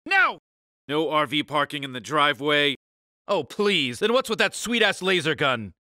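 A grumpy uptight cartoon alien boss, really high sharp voice, exasperated and pompous. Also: male voice, big pitch swings, normal speed, no echo, clean studio sound, heavily acted. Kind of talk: animated cartoon game voice acting.

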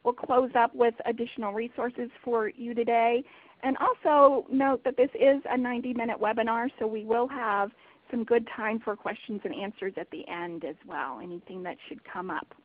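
A woman's voice: a little high, normal speed, no echo. A woman hosts a webinar in an upbeat, enthusiastic way. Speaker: webinar host. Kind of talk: hosting a webinar. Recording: tinny phone line.

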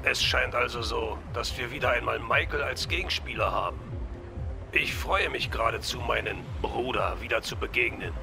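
A man playing a calm, menacing villain boss in an audio drama, with a gravelly voice. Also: mid-range voice, moderate pace, music in the background. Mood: calm menace. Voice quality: gravelly creak.

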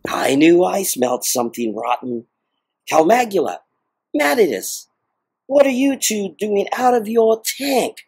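Raspy, bossy, suspicious scold, acted out. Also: mid-range voice, sing-song, measured pace, a little mellow, full character voice. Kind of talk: audio drama acting.

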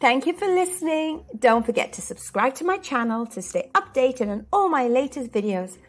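High-voiced, animated woman storyteller. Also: normal speed. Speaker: storyteller, channel host. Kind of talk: children's storybook read-aloud.

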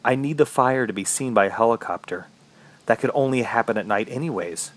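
An actor playing a trapped, injured man fighting to survive, telling his story in a horror audio drama with a husky, dramatic, self-questioning delivery. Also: mid-range voice, fairly quick, lightly acted. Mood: tense, resolving. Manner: dramatic, self-questioning, swinging from muttering to shouting. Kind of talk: first-person horror audio drama narration.

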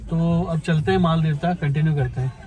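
High-voiced young man vlogging on a drive. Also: fairly even intonation, fairly quick, over loud music. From India. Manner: chatty, enthusiastic, addresses viewers as guys. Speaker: travel vlogger. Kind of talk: casual travel vlog narration. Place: in a car, then outdoors by a river. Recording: outdoor phone audio with loud background noise.

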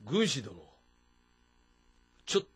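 A man playing a rough, loyal middle-aged warrior general with a husky voice and blunt, informal speech in a historical drama. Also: mid-range voice, very animated, slow pace, a bit bright, heavily acted. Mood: uneasy, earnest.

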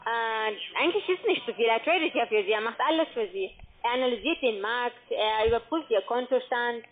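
A woman with a fairly high voice makes a sales pitch over a tinny phone line. She talks as a call-centre account manager. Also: expressive, a little fast.

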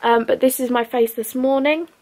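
A woman's voice: high voice, fairly even intonation, moderate pace, a little mellow. A woman in her twenties with a British accent, chatting casually in a beauty vlog from her bedroom, filming at arm's length with lots of ums. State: losing her voice, under the weather. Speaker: beauty vlogger. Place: bedroom at home, indoors. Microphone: handheld camera or phone at arm's length.

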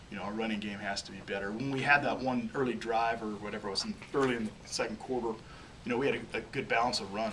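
A man in his forties sits in a small press room and talks into a table microphone, pitched a little low and often stumbling over repeated words. The mic sits some way off and picks up plenty of room noise. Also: recorded from a distance. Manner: halting, repeats words while searching for phrases. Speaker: head football coach. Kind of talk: postgame press conference answers. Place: small press room, in front of a logo backdrop. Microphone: table microphone on a stand.

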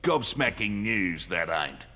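An actor playing a cocky, sleazy mercenary crime boss in a video game, with a rough Australian accent and a taunting manner. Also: male voice, fairly low pitch, very animated, slow pace. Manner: taunting, sardonic. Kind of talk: video game character banter. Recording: game audio over loud ambience and music.

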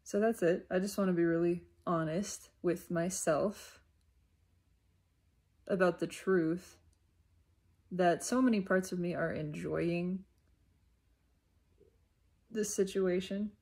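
A woman giving an unscripted, reflective spiritual monologue in short, halting, repeated phrases, with a husky, creaky voice. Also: on the low side, steady tone, a little mellow. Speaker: self-reflective spiritual vlogger.

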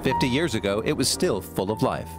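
A man giving scripted documentary narration in a measured, even voice, recorded as a studio voice-over. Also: lowish voice. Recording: studio voice-over with music behind.

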